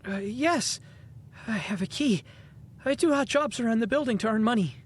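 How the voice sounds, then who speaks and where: Woman as earnest teen boy scientist, high voice, animated pitch, flustered, stammering, radio-play style. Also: normal speed, slightly rough. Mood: eager, nervous. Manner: stammering, excitable, pleading. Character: earnest, flustered teenage boy inventor from 1915. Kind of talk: audio drama acting.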